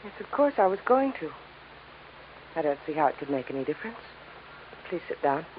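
A woman's voice: deep voice, sing-song, fairly quick. An actress playing a refined, anxious young woman, polite and guarded, in a 1950s radio drama. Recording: tinny, noisy old radio recording.

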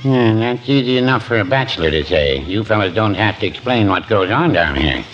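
A man acting a mild, henpecked older husband in a 1940s radio comedy. The voice is low, droll and hesitant, with dry turns of phrase. The recording is muffled and very noisy. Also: animated, a little fast, natural voice.

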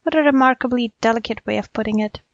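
A wretched, humble storybook Brahmin acted by a woman, high-voiced, anxious and politely pleading. The recording is dry and slightly dull. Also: fast talker, dry recording, close to an everyday voice. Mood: dismayed.

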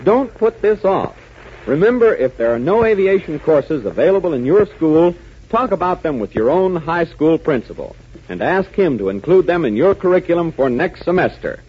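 A man's voice: a little high, sing-song, normal speed, breathy edge. A man with an American accent announcing in dramatic, emphatic 1940s radio style. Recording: muffled, hissy 1940s radio recording.

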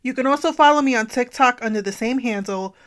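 A woman's voice: fairly high pitch, fast, fairly bright tone. Bubbly, blunt young woman podcast host. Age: young adult. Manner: bubbly, blunt, uses profanity. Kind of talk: casual podcast chat.

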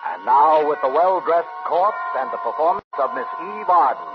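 Portentous radio announcer over music. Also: male voice, fairly high pitch, big pitch swings, moderate pace. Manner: formal, portentous. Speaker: program announcer. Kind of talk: dramatic 1950s radio introduction, scripted. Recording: dull vintage radio, loud music behind.

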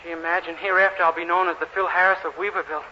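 A man's voice: high voice, steady tone, rapid-fire, natural voice. An actor playing a naive, flustered young male candidate with a boyish, earnest, anxious manner in a 1940s radio sitcom. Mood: worried, hapless. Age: young adult. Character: naive, flustered young man running for office. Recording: very noisy, muffled old radio recording.

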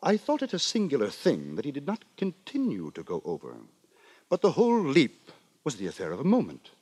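A man playing a pompous, wry gentleman narrator in a 1950s radio drama, telling his tale with ornate, mock-solemn deliberation. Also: medium pitch, huge pitch swings, brisk, slightly rough, dry recording. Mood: wry, astonished. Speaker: narrator and lead actor. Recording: dry 1950s radio with music.

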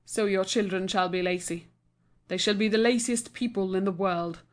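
A woman reading a storybook aloud, voicing a stern old male magician who speaks in measured commands. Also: mid-range voice, close to an everyday voice. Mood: commanding.

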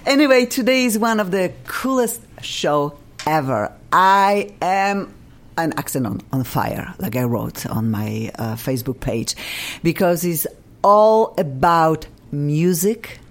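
A woman from Poland with a Polish accent, hosting a radio talk show in a bubbly, effusive way and laughing often. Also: low-pitched.

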